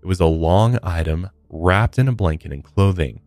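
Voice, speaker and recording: Deep-voiced man telling a true crime story. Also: lively intonation, normal speed, darkish tone, dry recording, faint music behind. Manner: conversational, reads case details with asides. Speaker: true crime podcast co-host.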